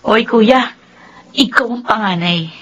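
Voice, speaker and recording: An actress playing a sassy, nagging young adult younger sister in a Filipino radio drama, taunting and exclamatory. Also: female voice, on the high side, takes it slowly, a bit bright, breathy edge, muffled recording, full character voice.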